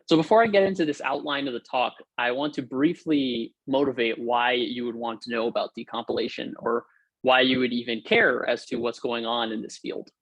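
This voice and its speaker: A man's voice: medium pitch, steady tone, fairly quick, a bit bright, no echo, poor audio. A young man from Hawaii giving an upbeat, informal technical talk over a video call, with frequent fillers and restarts. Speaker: guest lecturer. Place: room at home or office.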